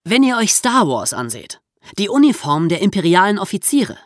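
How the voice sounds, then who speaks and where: A man's voice: high voice, sing-song, fairly quick, dry recording, studio quality. A man co-hosting a podcast, explaining history in a conversational storytelling manner. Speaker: podcast host.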